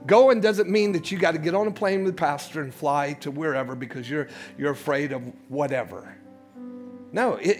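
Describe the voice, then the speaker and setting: High-pitched preaching man, soft music behind. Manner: preaching with big swings between loud shouts and hushed low passages. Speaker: pastor. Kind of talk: sermon.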